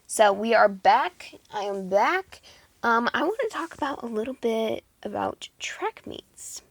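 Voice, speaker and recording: A teenage girl vlogging in a casual, chatty way, giggling and saying "like" a lot, her voice going a bit hoarse. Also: a little high, big pitch swings, unhurried, no room sound. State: losing her voice. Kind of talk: casual chat to camera.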